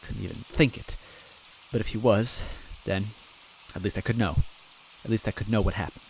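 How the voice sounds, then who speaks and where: Low-voiced man softly reading a story. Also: steady tone, normal speed, slight background noise, rough recording. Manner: soft, wistful, gently comic. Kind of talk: first-person story reading.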